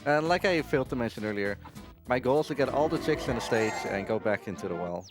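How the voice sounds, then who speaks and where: A man's voice: mid-range voice, expressive, fast talker, music in the background. A man giving casual live commentary while he plays a video game speedrun.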